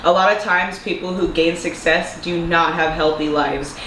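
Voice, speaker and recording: A woman's voice: on the low side, fairly even intonation, moderate pace, a bit bright. A young woman vlogger, a little congested, talking earnestly to camera in a casual monologue and throwing out rhetorical questions. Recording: echoey room with faint noise.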